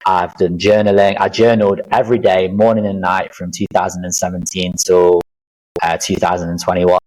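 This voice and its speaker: A man's voice: low voice, flat delivery, moderate pace, a little mellow, poor audio. A man in his thirties, a life coach guest on a podcast, talking earnestly and reflectively about his experiences with many fillers. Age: 30s. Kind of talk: casual interview chat.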